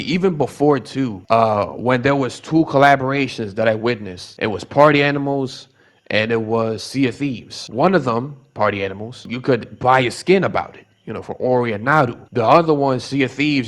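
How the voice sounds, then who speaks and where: A man's voice: mid-range voice, moderate pace. Rambling young man with a Bronx accent. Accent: New York, Bronx. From the Bronx, New York. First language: Spanish. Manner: rambling and animated, with many restarts and repeated words. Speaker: vlogger. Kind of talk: casual storytelling vlog.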